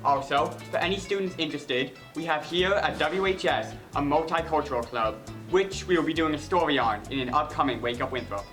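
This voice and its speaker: A teenage boy presenting a segment on a school news show, reading a steady script. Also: on the high side, fairly quick, faint music behind. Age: teens.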